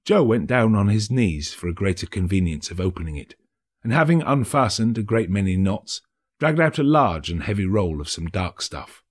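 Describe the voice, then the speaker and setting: A male actor narrates a classic story in dramatic audiobook style. His pitch moves with lively variation, and there is a slightly rough, husky grain to the voice. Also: normal speed.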